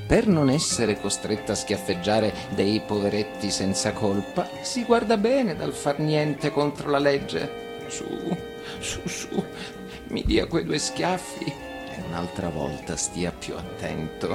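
An actor voicing a smiling, gentle, overly polite village policeman in a storybook reading, with a chuckling, courteous manner. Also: lowish voice, big pitch swings, slow pace, vocal fry, over music, heavily acted. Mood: gentle, regretful.

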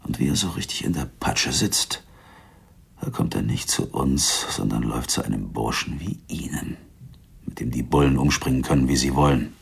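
An actor playing a menacing, boastful gangster with a gravelly husky voice, talking tough street slang in a radio crime drama. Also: male voice, very deep voice, animated, normal speed, full character voice. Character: menacing, boastful male gangster, war veteran with grudge.

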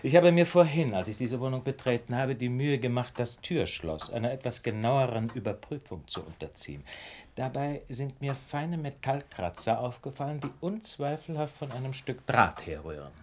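A man acts out a brilliant radio-play detective with a widely swinging, animated delivery. He lectures calmly and precisely, with polite condescension and dry irony, at a middling pitch. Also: moderate pace, natural voice. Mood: calm, superior. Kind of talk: German radio play acting.

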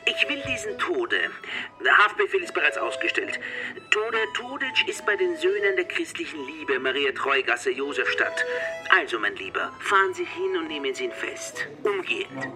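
An actor playing an imperious police superior in a radio crime drama, brisk and commanding. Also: male voice, a little high, very animated, music in the background. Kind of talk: radio play dialogue.

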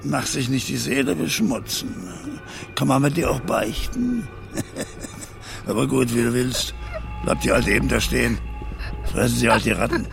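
An actor playing a brutish, cynical German soldier in a radio drama, mocking and coarse in manner. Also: male voice, medium pitch, animated, normal speed, vocal fry, pauses often, music in the background. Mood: sneering. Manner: mocking, coarse, laughing derisively. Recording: loud vehicle engine noise.

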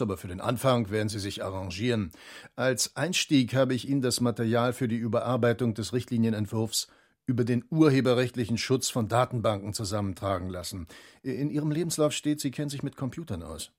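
An actor playing a middle-aged supervisor instructing a trainee in a German radio drama, courteous, formal and businesslike. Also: male voice, mid-range voice, a little mellow, lightly acted. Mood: polite, businesslike. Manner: courteous, formal, brisk authority.

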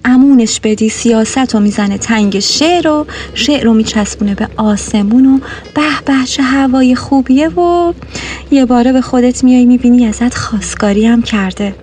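A woman's voice: a little high, darkish tone, slight background noise, lightly acted. A woman playing a witty, wry neighbourhood gossip who teases in a theatrical mock-formal way, in a nostalgic radio drama. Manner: teasing, theatrical, drawn-out address.

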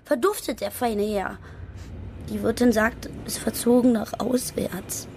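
A woman's voice: on the high side, steady tone, measured pace, vocal fry, a little mellow, a little hiss or hum. An actress playing a jilted, sulky young working-class woman in a radio drama, hesitant and stammering. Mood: hurt, indignant.

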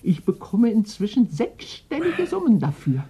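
A woman's voice: mid-range voice, wildly swooping pitch, moderate pace, mellow, slightly rough, full character voice. An actress playing a domineering, eccentric old woman in her eighties, vengeful and wistful, coaxing and commanding theatrically in a German radio play. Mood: coaxing, grandiose. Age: older.

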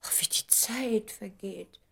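A woman's voice: mid-range voice, slow, creaky, a bit bright, husky, exaggerated voice. A woman playing a vain, wounded young stage star in a German radio play, speaking in a dramatic, self-important and indignant way.

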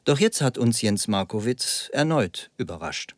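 An actor playing a polished, faintly amused television news anchor in a satirical radio play. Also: male voice, lowish voice, sing-song, unhurried, no room sound, clean studio sound. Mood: composed. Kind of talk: parody TV news presentation.

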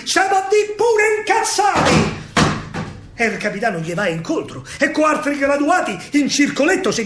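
A man with a Roman accent performing a dramatic, declamatory verse monologue in colloquial speech, as a solo theatre storyteller. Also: extremely high pitch.

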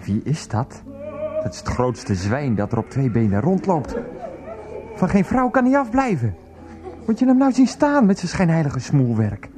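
Male actor as indignant, defensive ex-teacher for radio comedy, muttering and repetitive, with lively pitch swings over music. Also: normal speed, natural voice. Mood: indignant, bitter. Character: aggrieved, grumbling ex-schoolteacher, jealous and sarcastic. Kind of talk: naturalistic comic radio play dialogue. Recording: music behind the voice.

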